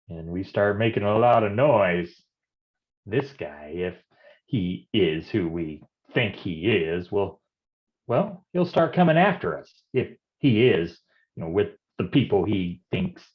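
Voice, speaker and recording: A man's voice: lowish voice, muffled recording. A man playing a bumbling, folksy private detective in a staged noir parody, stammering and easily rattled. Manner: stammering, nervous chuckling, folksy slang.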